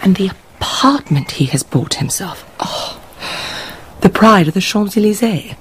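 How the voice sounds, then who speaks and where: An actress plays an arch society gossip in a period radio drama. She drawls and exclaims with very lively pitch. There is a faint background ambience. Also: measured pace, a little hiss or hum, close to an everyday voice. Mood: gossipy. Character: gossipy, arch Parisian banker's wife, high society.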